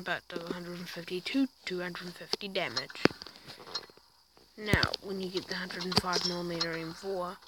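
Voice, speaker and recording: Croaky-voiced woman with loud background noise. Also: mid-range voice. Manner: chatty, self-deprecating, stumbles over words. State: croaky voice from a sore throat. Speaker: video game tank reviewer. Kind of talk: casual gameplay commentary.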